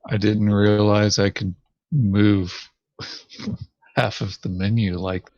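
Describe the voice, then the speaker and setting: A man talks casually with a fellow player during a game. His voice is on the low side and his delivery is very slow. The recording is dry and a bit dull. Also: fairly even intonation, darkish tone, dry recording. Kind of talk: casual gaming chat.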